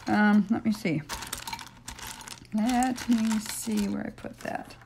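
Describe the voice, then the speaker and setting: An older woman with a raspy voice hosting a casual polymer clay tutorial from her home craft room, chatting and thinking aloud with frequent ums as she works, recorded on a fixed camera mic. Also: medium pitch, steady tone, slow pace, noisy background. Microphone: stationary camera mic.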